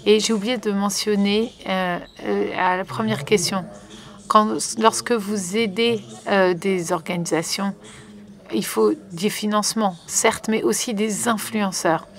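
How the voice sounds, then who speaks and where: A woman's voice: mid-range voice, steady tone, unhurried. A woman working as a conference interpreter, relaying a panel live with frequent fillers and restarts. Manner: hesitant, many fillers and restarts.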